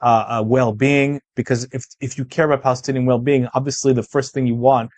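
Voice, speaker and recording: A man's voice: mid-range voice, flat delivery, brisk, darkish tone, no room sound. A man from Israel hosting a political discussion, speaking emphatically and repeating phrases for weight. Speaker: podcast host interviewing a guest.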